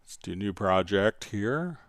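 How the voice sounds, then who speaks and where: A man hosting a casual live software tutorial, answering viewer questions in a conversational, step-by-step way. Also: on the low side, animated, a little slow, dry recording, studio quality. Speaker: livestream host and software instructor.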